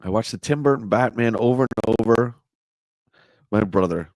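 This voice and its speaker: A man with a hoarse voice hosting a casual livestream, chatting and answering viewer comments. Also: on the low side, normal speed, a little mellow, no room sound, poor audio. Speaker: livestream host. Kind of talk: casual live chat reading a magazine.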